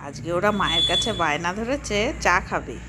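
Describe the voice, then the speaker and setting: A woman's voice: lowish voice, lively intonation, a little fast. A woman narrating and voicing a children's doll-play story in a simple, homely way. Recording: faint background noise.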